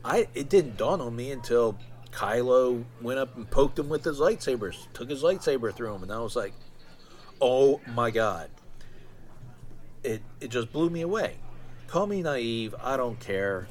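A man talking casually and informally as a solo podcast host, in a halting, rambling way. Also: medium pitch, expressive, a little mellow.

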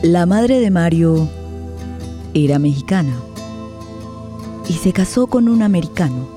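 A woman narrates a radio drama in a very dark, soft-edged tone. Her delivery is sombre and measured, with faint music beneath. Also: lowish voice, animated. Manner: measured, deliberate phrasing.

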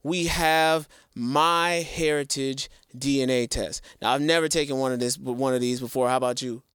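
Smooth-voiced man hosting a casual podcast. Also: mid-range voice, moderate pace, dry recording, clean studio sound. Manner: lively, talks straight to viewers with slang. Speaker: podcast co-host.

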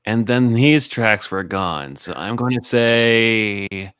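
Male player, slow and careful, smooth voice, dry sound. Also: lowish voice, fairly bright tone, no echo. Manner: slow and deliberate, reasoning aloud. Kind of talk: casual tabletop play.

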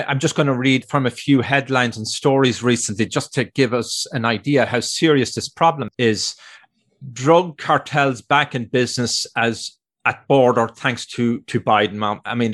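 A man's voice: medium pitch, a bit bright. A man whose first language is Irish Gaelic, hosting an interview, reading out headlines and adding conversational, self-deprecating asides. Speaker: interview host.

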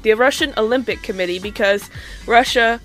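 A woman's voice: a little high, normal speed, soft background music. A woman co-hosting a podcast, giving a loose, ad-libbed recap of the news in a conversational way. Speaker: podcast co-host reading news segment.

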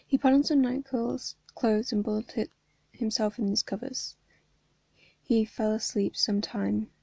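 Hoarse young woman reading a story. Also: a little high, steady tone, unhurried, a little mellow, no echo. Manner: casual and chatty, with fillers. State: hoarse from hay fever. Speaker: fan fiction reader. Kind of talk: reading a story aloud with casual chat between chapters.

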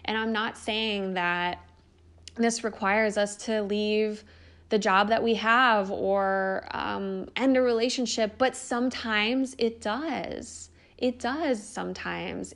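A young woman hosting a podcast, talking earnestly and encouragingly about life purpose, casual with the odd swear word. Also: mid-range voice. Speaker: podcast host. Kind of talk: spiritual self-help monologue.

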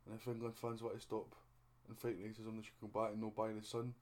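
A man with a Scottish accent hosting a casual podcast, talking in a relaxed, conversational way that often drops to a mutter. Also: lowish voice, fast talker. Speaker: podcast host. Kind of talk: casual chat.

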